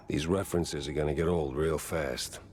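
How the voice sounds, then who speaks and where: An actor playing a wry, weary mouse private detective with a sardonic deadpan, in a noir cartoon game. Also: male voice, extremely low pitch, slow, slight background noise. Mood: irritated, suspicious. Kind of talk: 1930s film noir cartoon game acting.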